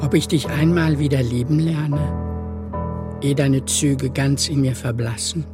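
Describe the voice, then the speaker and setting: A woman recites poetry in a formal, measured style. She moves slowly through each line, with music clearly present behind her. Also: a bass voice, fairly even intonation. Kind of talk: recited verse over music.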